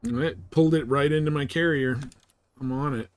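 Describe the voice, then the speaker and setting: A man's voice: a little high, fairly even intonation, moderate pace, smooth. A man giving casual live gameplay commentary as a streamer, thinking aloud in short, laid-back fragments. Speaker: game streamer.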